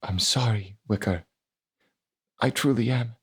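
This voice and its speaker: A man voicing a tearful, devoted woman in an audiobook, pleading in an anguished, halting way. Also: medium pitch, fairly bright tone, breathy edge. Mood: sorrowful.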